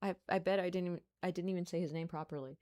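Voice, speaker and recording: A woman's voice: fairly low pitch, fairly even intonation, racing, mellow. A young woman with a Brazilian accent chatting casually on a live stream, laughing often and making playful asides.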